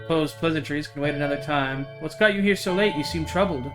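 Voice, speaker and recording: A man's voice: on the high side, fast talker, natural voice. A man voicing a kindly older small-town woman, gracious, chatty and folksy, in a visual novel. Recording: game music behind the voice.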